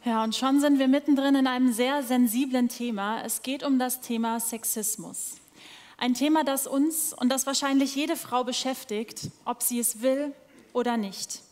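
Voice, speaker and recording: A woman's voice: sits high, moderate pace, darkish tone. A young woman hosting a staged event, talking directly to the audience from the stage. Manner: conversational, addresses the audience directly. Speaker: event host. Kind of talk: staged opening speech. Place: large parliament hall, on stage before an audience. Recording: long reverb in a large hall.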